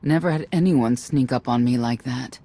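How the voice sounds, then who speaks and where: A wary female ally with a dark, creaky voice. She sounds wry and husky, in the style of a scripted video game. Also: a bass voice, normal speed.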